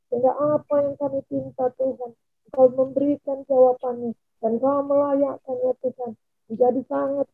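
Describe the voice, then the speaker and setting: A woman leading a spoken intercessory prayer, fervent and repetitive, with phrase endings that trail off softly. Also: high voice, creaky, dry recording. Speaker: prayer leader. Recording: tinny phone-like line.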